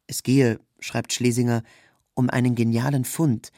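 A man's voice: medium pitch, flat delivery, a little slow, warm tone, no room sound, clean studio sound. A man reads scripted literary narration in with a sober, documentary delivery. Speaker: narrator, reader of a literary text.

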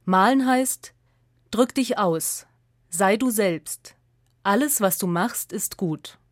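Female narrator for radio essay, mid-range pitch, measured and articulate, dry recording. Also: no echo.

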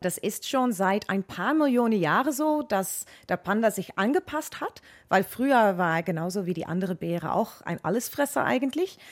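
A woman animal expert with a Dutch accent, explaining things to children in a plain-spoken, matter-of-fact way. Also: mid-range voice.

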